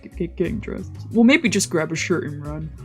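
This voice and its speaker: A woman's voice: low voice, animated, moderate pace. A woman reading a visual novel aloud as a streamer, shifting between narration and casual asides, with game music behind her. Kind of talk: dramatic let's play read-aloud with casual asides.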